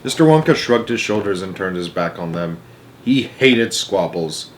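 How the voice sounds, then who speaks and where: A man reading a children's story aloud as an expressive narrator. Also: deep voice, normal speed, a little hiss or hum.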